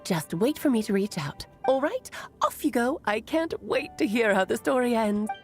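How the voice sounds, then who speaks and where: A playful, mysterious guide with a bubbly, coy, singsong delivery. Her pitch swings in lively leaps. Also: moderate pace, soft background music.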